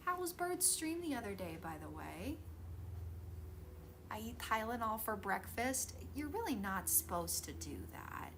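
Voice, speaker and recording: A woman hosting a casual craft stream, her voice sitting high and swinging widely between notes. She greets viewers in a bubbly way. The recording carries a clear hiss of room noise. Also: big pitch swings, a little slow. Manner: bubbly, greets chat warmly.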